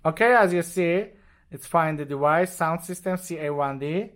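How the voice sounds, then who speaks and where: A man with non-native, halting English walks step by step through an unscripted product demonstration. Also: fairly high pitch, a bit bright. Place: indoors at a desk with devices.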